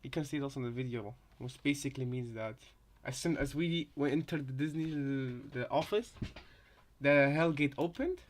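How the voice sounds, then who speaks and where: A man's voice: medium pitch, normal speed. Rambling male reactor, casual commentary. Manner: rambling, repeats and reworks phrases. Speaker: reaction video host.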